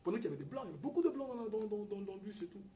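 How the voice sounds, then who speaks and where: A man's voice: sits high, very animated, racing, mellow. A man from the Ivory Coast, a guest in a casual chat, who speaks hesitantly, repeats words and stresses points now and then. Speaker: radio guest. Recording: echoey room, voice from far off, faint noise.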